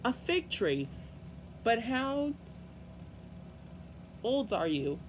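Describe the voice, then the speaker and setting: A man's voice: extremely high pitch, very animated, extremely slow, slight background noise, pitched above the performer's own voice, full character voice. A man voicing a mighty, curious emperor in a children's storybook, with an emphatic, questioning manner.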